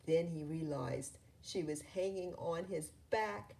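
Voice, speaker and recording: A woman reading a children's story aloud in a deliberate, sometimes halting way. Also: low voice, gravelly, warm tone. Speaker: storybook reader.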